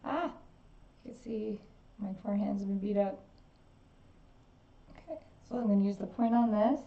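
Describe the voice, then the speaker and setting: A woman's voice: medium pitch, normal speed, creaky, dark tone. A woman in her late thirties, chatting casually and a little self-deprecatingly while she works on a craft piece. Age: 30s. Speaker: artist working while chatting. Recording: echoey, distant, dull sound.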